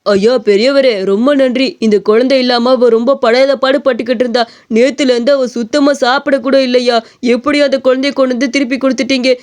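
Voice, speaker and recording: Casting: man as poor cartoon husband, smooth high voice, consoling, weary. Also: steady tone, a little fast. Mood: grateful, earnest. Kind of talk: cartoon story dialogue.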